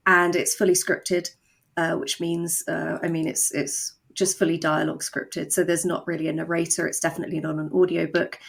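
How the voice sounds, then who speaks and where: A woman's voice: lowish voice, gravelly. A woman talking as an interview guest about her creative work, explaining conversationally with wry, dry humour. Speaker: guest, audio drama co-creator.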